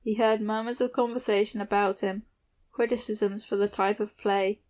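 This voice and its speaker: Woman narrating an old fiction audiobook, pretty even delivery, medium pitch. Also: moderate pace. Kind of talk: literary scripted narration.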